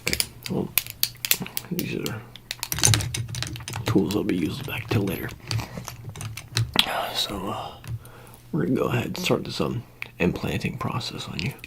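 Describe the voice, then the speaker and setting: A man speaking softly in an ASMR roleplay, halting and casual, often slipping into a whisper. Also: animated. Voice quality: soft, breathy, often dropping into whisper. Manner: halting, casual, low-key official patter. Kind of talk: ASMR roleplay, soft-spoken, improvised.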